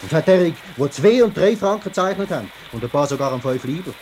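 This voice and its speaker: An actor playing a pushy, plain-spoken factory worker with a Swiss German accent in a 1950s radio play, nagging in colloquial speech. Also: male voice, fairly high pitch, animated, fast talker, a little mellow, close to an everyday voice. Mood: scolding. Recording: old radio recording with background noise.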